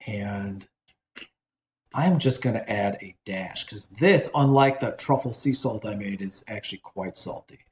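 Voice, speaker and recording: A man's voice: fairly low pitch, expressive, measured pace, slight background noise. Chatty man in an echoey kitchen. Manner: chatty, rambling, self-correcting. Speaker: cooking show host. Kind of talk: casual cooking demonstration.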